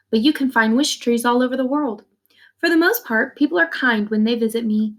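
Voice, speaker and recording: A woman reading a children's novel aloud like a teacher, expressively, in the first person, with simple explanations for young listeners. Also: fairly high pitch. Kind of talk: read-aloud storytelling with brief explanations.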